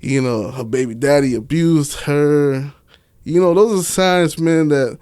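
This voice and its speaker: A man with a notably high voice talks as a guest in a casual interview. He tells his story candidly in slangy, profane speech, often circling back to repeat a phrase. The sound is dry and close, with no room echo. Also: unhurried, a little mellow, clear, resonant tone. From Miami, United States. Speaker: interview guest, author and stage play director.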